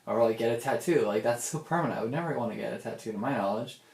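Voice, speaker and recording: A man's voice: medium pitch, rapid-fire, a little mellow, echoey room. A young man thinking aloud in a casual audio diary, hesitant and often circling back on himself with repeated filler words. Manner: hesitant, repetitive, self-interrupting with many "like"s. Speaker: audio diarist.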